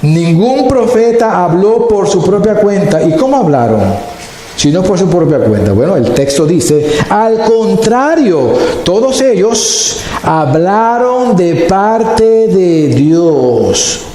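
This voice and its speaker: A male pastor preaching a sermon slowly and deliberately, stretching words for emphasis. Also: high voice, lively intonation, dark tone. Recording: very echoey hall, faint noise.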